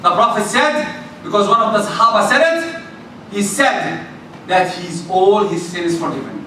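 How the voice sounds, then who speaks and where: A man with a loud voice preaching a lively, emphatic sermon in a mosque. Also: sits high, moderate pace. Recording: echoey hall from far off, faint noise.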